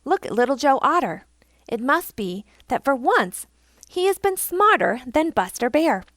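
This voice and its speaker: A woman voicing a lively young male mink in a storybook, exclaiming and teasing. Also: high voice, unhurried, dry recording, pitched above the performer's own voice, heavily acted. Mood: teasing, excited.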